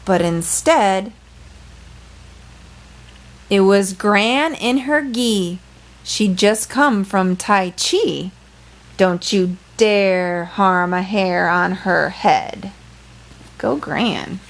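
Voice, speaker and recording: A woman tells a children's story at an unhurried, slow pace. Her pitch sits in the middle for a woman, and she reads playfully and dramatically, with brief asides. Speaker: storyteller reading a picture book aloud. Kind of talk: expressive children's read-aloud with brief asides.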